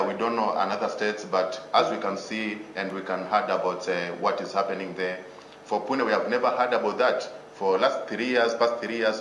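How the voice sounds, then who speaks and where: A low-voiced young man from Tanzania answers haltingly and repeats words as he searches for the next phrase. His voice comes through with a roomy echo. He speaks as a student guest in a panel interview. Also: fairly bright tone, slight background noise.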